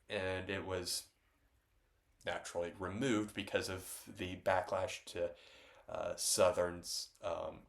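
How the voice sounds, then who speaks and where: Congested man rambling about his painting. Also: sits low. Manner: rambling, loose, many ums and restarts. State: stuffed up, congested. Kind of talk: casual reflective talk to camera.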